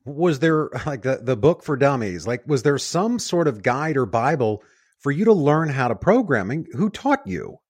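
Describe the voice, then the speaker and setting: A man's voice: mid-range voice, very animated, normal speed, husky. A man hosting an interview podcast, introducing his guest and asking eager questions. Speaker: podcast host.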